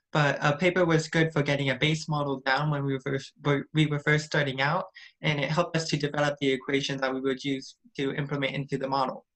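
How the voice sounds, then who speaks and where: Young man presenting, flat even delivery. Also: a little high, low-quality recording. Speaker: student presenter. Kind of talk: prepared project presentation.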